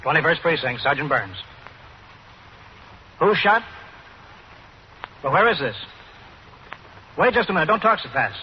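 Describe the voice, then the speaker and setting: An American actor playing a dutiful, clipped police desk sergeant in an old-time radio drama. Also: male voice, on the high side, sing-song, measured pace, pauses often. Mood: urgent, controlled. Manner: clipped, official. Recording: muffled, noisy old radio recording.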